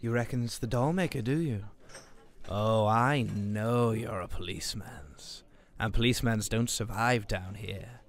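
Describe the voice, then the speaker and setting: A slow-talking villain of radio drama. He is a greedy backstreet weapons dealer, sly and theatrical, who drags out his threats and sales talk alike. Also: on the low side. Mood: menacing. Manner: sly, theatrical, mangles plurals.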